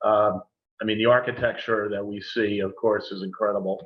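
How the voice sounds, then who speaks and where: A man co-hosting an interview over a video call, asking questions in a halting, hesitant way with many fillers. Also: on the low side, flat delivery, highs cut off. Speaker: co-host.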